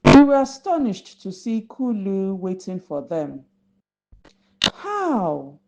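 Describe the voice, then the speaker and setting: A woman narrating a folktale for young children as a storyteller, deliberate and instructive. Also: medium pitch, sing-song, noisy background. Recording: slightly dull lo-fi recording.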